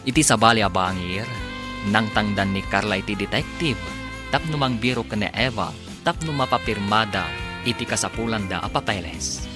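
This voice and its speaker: A man's voice: lowish voice, moderate pace, music in the background. A man with an Ilocano accent narrating a radio drama serial in a dramatic, scripted announcer style.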